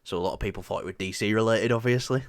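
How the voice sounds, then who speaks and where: A man's voice: on the low side, racing, no room sound, studio quality. A young man with a Yorkshire accent co-hosting a movie review show, chatting casually with lots of fillers and restarts. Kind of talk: casual chat.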